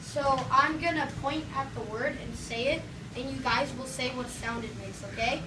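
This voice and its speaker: High voiced lady doing kids story time, asks them to join in, far from mic in a really noisy echoey room. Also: moderate pace. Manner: encouraging, prompting, acting out sound effects. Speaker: children's story-time teacher. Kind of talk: read-aloud picture book to young children, interactive with questions. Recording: roomy, distant mic, loud background noise.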